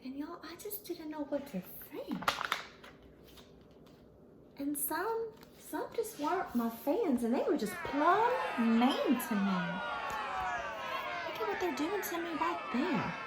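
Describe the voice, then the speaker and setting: A woman's voice: high voice, very animated, slow, pauses often, over a noisy crowd, very noisy, heavily acted. A woman voicing a sweet, folksy little female pony, telling her story dramatically and earnestly to children. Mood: hurt, pleading.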